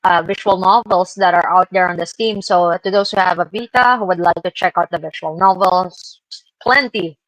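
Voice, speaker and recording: A woman's voice: lowish voice, normal speed, a bit bright, no room sound, rough recording. A woman presenting games in an enthusiastic, casual chat. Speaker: gaming show presenter.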